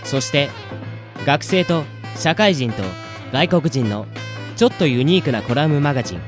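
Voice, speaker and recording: Animated male radio host over light music. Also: on the high side, moderate pace. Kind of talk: casual banter and announcements.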